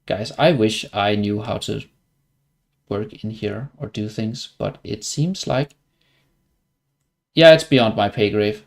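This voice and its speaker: A man's voice: on the low side, slow, gravelly, long pauses. Danish-accented man, casual game streamer. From Denmark. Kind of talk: casual livestream commentary over gameplay.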